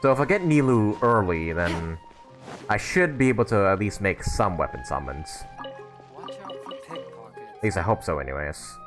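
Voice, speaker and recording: A man's voice: low-pitched, big pitch swings, measured pace, pauses often. A man hosting a casual game livestream, rambling and thinking aloud as he chats to viewers. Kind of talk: casual livestream chat while playing a game. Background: game music.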